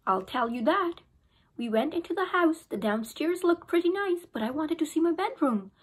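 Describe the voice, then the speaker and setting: A young woman teacher reading a children's book aloud in an expressive storytelling manner, recorded close on a phone in a small room at home. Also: very high-pitched, normal speed. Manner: expressive storytelling, occasional restarts. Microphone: phone camera held close.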